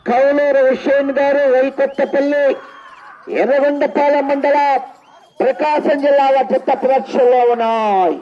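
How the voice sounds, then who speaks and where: A man's voice: extremely high pitch, fairly even intonation, moderate pace. A man with an Andhra Telugu accent giving live contest commentary as an event announcer, repeating his announcements and throwing in exclamatory cries. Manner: repetitive announcing broken by loud exclamatory cries. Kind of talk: live contest commentary over a public address. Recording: dull lo-fi sound.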